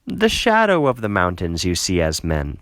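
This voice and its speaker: A man's voice: sits low, wildly swooping pitch, moderate pace, a bit bright, dry recording, heavily acted. Man reading as a commanding, scornful city ruler. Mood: dismissive. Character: scheming, scornful male city ruler giving counsel.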